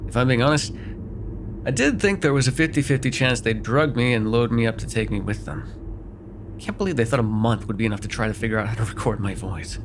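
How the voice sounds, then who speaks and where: A man playing a sarcastic vampire with a soft spot in an ASMR roleplay, talking in a teasing, conversational way with sighs and hesitations. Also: mid-range voice, a little fast, background noise, natural voice. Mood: wry, indignant. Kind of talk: immersive ASMR roleplay acting.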